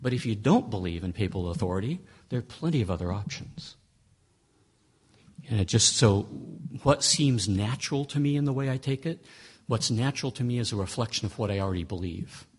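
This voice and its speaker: A man's voice: lowish voice, expressive, fairly quick, slightly rough. A man giving a conversational lecture on reading scripture, emphatic and fond of rhetorical questions, often restarting his phrases. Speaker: Bible teacher.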